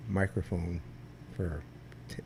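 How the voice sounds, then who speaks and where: An older man, a veteran guest, telling stories in a rambling, halting way with many restarts and a loud voice that carries. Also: low voice, steady tone, gravelly, a little hiss or hum, rough recording. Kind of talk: casual storytelling interview.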